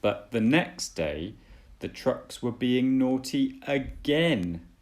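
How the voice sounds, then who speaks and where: A man reading a children's storybook aloud as a dad would, with deliberate, dramatic storytelling. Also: medium pitch, animated.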